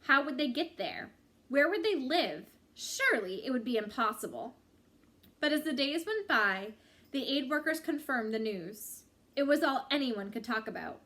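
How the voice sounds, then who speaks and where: A woman reading a book chapter aloud in a measured, expressive storytelling style with drawn-out phrases. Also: high-pitched.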